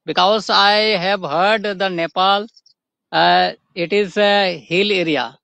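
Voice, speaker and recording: A young man from India with an eastern Indian accent, chatting casually in halting learner English and repeating words as he goes. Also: high-pitched, steady tone, gravelly, pushed and bright, smooth, dry recording. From Jharkhand, India. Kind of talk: casual practice conversation.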